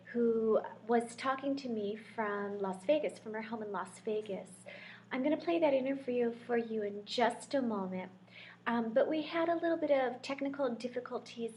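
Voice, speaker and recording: A woman's voice: fairly high pitch, darkish tone, slight background noise. Upbeat, welcoming woman podcast host. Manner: upbeat, welcoming, gushing intros. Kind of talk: casual podcast hosting.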